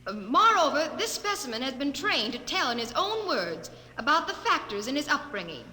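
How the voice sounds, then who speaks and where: An actress playing a cool, repressed science professor in a 1950s radio drama, formal, clipped and clinical. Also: female voice, sits high, big pitch swings, moderate pace, sharp tone, exaggerated voice. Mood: lecturing. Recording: old radio recording with faint noise.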